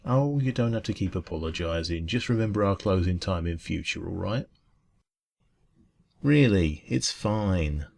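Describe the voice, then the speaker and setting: Man voicing a deep, creaky, gentle dragon café owner. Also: unhurried, full character voice. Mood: gentle, reassuring. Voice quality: soft, calming. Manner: gentle, reassuring, chatty. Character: kind, friendly male dragon café owner closing up shop. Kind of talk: ASMR roleplay.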